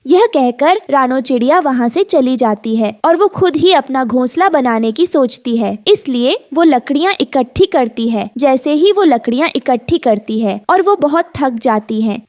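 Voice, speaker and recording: High-pitched woman narrating a story. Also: animated, unhurried, few pauses, a little mellow, no room sound, clean studio sound. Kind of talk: scripted children's story narration.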